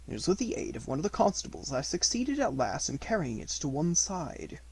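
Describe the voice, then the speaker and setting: A man with a fairly high, gravelly voice reads a classic detective story aloud as an amateur narrator. His intonation swings widely, and his delivery is deliberate and expressive, with a husky edge to the tone. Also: rough recording. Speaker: amateur audiobook reader.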